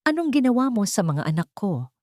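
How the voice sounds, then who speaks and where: A woman's voice: mid-range voice, sing-song, brisk, a little mellow, dry recording, studio quality, voice pushed up, natural voice. A woman with a Filipino accent playing a cold, authoritative millionaire father in an audio drama, commanding and accusing. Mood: cold accusation.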